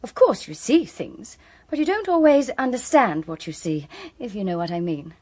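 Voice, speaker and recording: An actress playing a pushy, self-assured busybody in a radio drama, speaking in an insistent, superior manner. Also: female voice, on the high side, very animated, moderate pace, darkish tone. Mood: smug, piqued.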